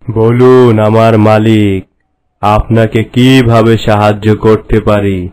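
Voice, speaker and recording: A man's voice: lowish voice, little pitch movement, slow pace, a little mellow, smooth, pitched below the performer's own voice. A man voicing an obedient magic stone spirit in a cartoon fairy tale, speaking in a solemn, deferential, formal way. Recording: music behind the voice.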